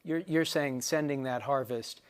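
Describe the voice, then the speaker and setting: A man's voice: mid-range voice, moderate pace, smooth. A man moderating a live panel, interjecting to clarify and restate points.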